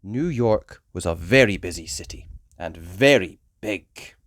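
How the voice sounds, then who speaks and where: A woman reading a children's story aloud as an expressive storyteller. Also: a bass voice, measured pace, dry recording.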